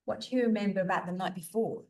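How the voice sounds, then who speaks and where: A woman at a middle pitch, running a podcast interview in an easy, chatty way, often laughing along with her guest. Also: steady tone, fast talker, low-quality recording. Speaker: podcast host. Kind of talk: casual interview chat.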